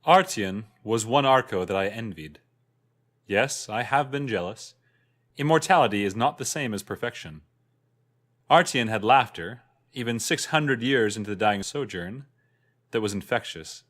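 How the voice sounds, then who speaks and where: A man's voice: lowish voice, animated, moderate pace, fairly bright tone. Solemn immortal storyteller, acted by a man. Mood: wistful, admiring. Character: ageless, wistful immortal recounting an old jealous friendship. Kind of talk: first-person fantasy narration.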